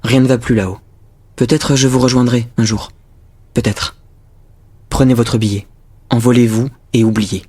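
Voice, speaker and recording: A man acting as a grave, protective regime official in an audio drama, speaking formally in short, restrained sentences with a husky voice. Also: medium pitch, fairly even intonation, moderate pace, long pauses, dark tone, lightly acted. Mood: low, resigned. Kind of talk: intimate French radio drama acting.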